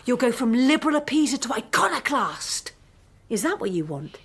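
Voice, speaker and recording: Young student, radio drama. Slightly high voice, sardonic, accusing, unyielding. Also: female voice, very animated, moderate pace, a bit bright, husky, full character voice. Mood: challenging. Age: young adult. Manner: pointed, sardonic. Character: defiant, accusing female postgraduate student. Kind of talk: naturalistic radio drama acting.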